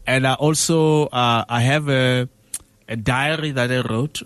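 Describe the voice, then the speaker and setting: Chatty male radio host, mid-pitched. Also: fairly even intonation, unhurried, fairly bright tone, dry recording. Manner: loose and chatty, many fillers, laughs easily. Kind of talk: casual radio interview.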